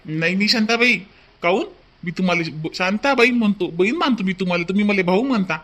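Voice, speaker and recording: Brief: fairly high-voiced cartoon ice-cream seller, played by a man, kind and brotherly. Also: expressive, fast. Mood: friendly. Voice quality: warm-hearted, brotherly.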